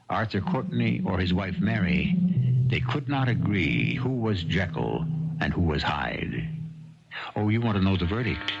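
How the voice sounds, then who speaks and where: Male host, deep and dramatically varied, rhetorical delivery, muffled vintage radio sound with music. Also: vocal fry. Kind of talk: scripted theatrical narration. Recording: dull 1970s radio broadcast recording.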